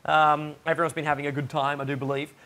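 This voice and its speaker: A young man with a raspy voice, chatting and joking as an esports shoutcaster from his home studio. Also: on the high side, little pitch movement, fast. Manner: chatty and joking, with many fillers. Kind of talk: casual solo commentary during a game pause.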